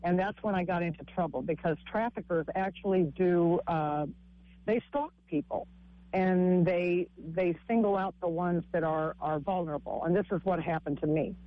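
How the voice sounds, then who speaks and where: A woman with a husky voice, a comic and author telling her story haltingly in a radio interview, with frequent restarts. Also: dry recording, narrow, tinny audio. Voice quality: husky, low-sounding voice. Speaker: guest author and stand-up comic.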